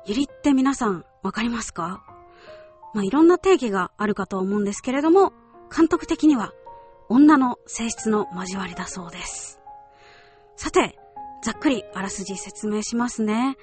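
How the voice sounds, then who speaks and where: A woman's voice: fairly high pitch, animated, moderate pace, a little mellow, soft background music. A woman presenting a solo radio show, addressing listeners directly in a polite, explanatory way. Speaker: radio show host, voice actress.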